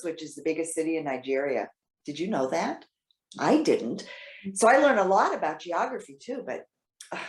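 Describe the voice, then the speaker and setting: A woman playing a trusting, excitable elderly widow in readers theater, talking in a chatty, halting, earnest way. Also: lowish voice. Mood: eager, confiding. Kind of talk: readers theater stage acting. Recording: roomy, echoing sound.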